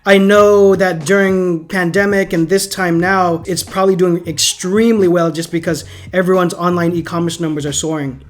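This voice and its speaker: A young adult man from San Diego hosting a casual interview, relaxed and slangy, with enthusiastic reactions. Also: high-pitched, moderate pace, hardly any pauses. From San Diego, United States. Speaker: interview host.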